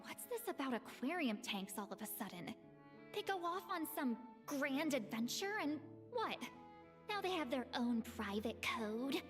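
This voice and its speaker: An actress playing a blunt, grumbling female tracker in a game cutscene, dry and unhurried. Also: high voice, very animated. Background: game music.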